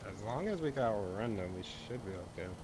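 A man's voice: on the low side, animated, a little fast. A man chatting casually with teammates during an online video game. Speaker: gamer in group voice chat. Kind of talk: casual gaming chat. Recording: noisy voice chat with game gunfire.

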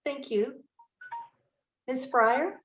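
Dull recording, lady moderating a forum, highish voice, reads out really slow. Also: a bit bright. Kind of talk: reading questions aloud at a public forum. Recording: dull, muffled forum audio.

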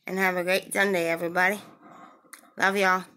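A woman's voice: on the low side, little pitch movement, a little fast, bright, forceful tone. A middle-aged woman, chatting casually to her viewers from a small living room, recorded on a phone held at arm's length, friendly and repeating her requests to like and subscribe. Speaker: vlogger.

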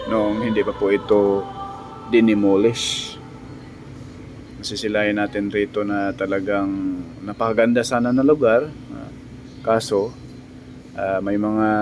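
A man narrating drone footage as a vlogger, halting, with frequent pauses. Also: on the low side, a little mellow. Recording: music behind the voice. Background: music.

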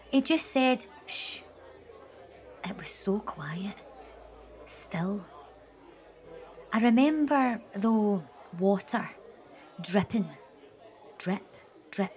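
An actress playing a young botany student, exacting and easily exasperated, speaking haltingly in an audio drama. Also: female voice, fairly high pitch, darkish tone. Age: young adult. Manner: halting, reflective recounting. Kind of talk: audio drama dialogue and monologue.

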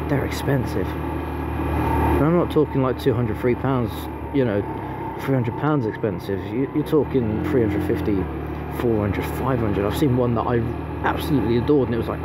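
A man riding a motorcycle, chatting casually and grumbling with the odd swear word, recorded on a helmet mic. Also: medium pitch. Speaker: motovlogger. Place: on a motorcycle on country and town roads. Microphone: helmet-mounted mic. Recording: loud wind and road noise.

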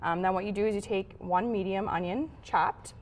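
A woman's voice: medium pitch, moderate pace. A woman in her thirties from Wisconsin, chatting casually as a home cook showing a recipe on TV. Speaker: home cook guest on a TV show. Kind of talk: casual cooking demonstration and chat. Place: studio kitchen set. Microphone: lapel mic.

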